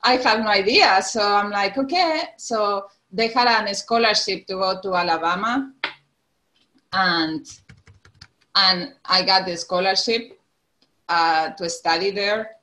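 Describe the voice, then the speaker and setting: A woman's voice: mid-range voice, slow pace, bright, forceful tone. A woman in her forties from the Canary Islands with a Spanish accent, a guest in a casual interview who laughs often and restarts her sentences. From Spain, Canary Islands. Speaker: podcast guest.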